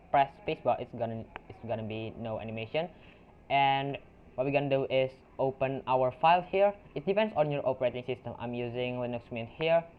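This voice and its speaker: A man's voice: mid-range voice, clean tone. Young Indonesian man, tinny lo-fi mic. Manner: halting, with frequent restarts and fillers. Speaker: tutorial creator. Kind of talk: software screen-recording tutorial. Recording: tinny lo-fi mic with faint noise.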